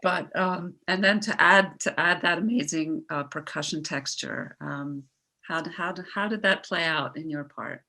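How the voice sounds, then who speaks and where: Woman from norway on a discussion panel, voice a bit on the low side, chatting her way to a question. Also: normal speed, pushed and bright. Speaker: panelist, musician.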